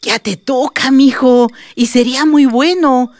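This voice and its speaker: A woman's voice: high-pitched, fairly even intonation, a little slow, dry recording. A woman playing a worried, gossipy old village grandmother with a Mexican accent in a radio soap opera. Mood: gossipy, encouraging. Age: 60s or older.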